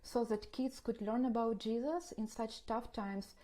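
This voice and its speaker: Ukrainian lady w accent giving earnest report, warm mellow tone, a bit high. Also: steady tone, a little slow. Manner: earnest, explanatory. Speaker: ministry coordinator giving a report. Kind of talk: interview, first-hand account.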